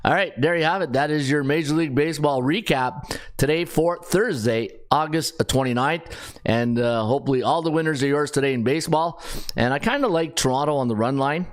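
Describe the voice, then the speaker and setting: Raspy-voiced man talking betting odds. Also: fairly high pitch, fairly quick. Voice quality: chatty, rambling with fillers and self-corrections. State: raspy, strained voice. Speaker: sports betting podcast host. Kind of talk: casual sports betting rundown.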